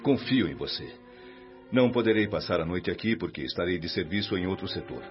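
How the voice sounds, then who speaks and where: An actor playing a calm, kindly spirit mentor in a Brazilian radio drama, measured, reassuring and didactic. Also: male voice, sits low. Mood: trusting, businesslike. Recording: dull lo-fi radio recording, music behind.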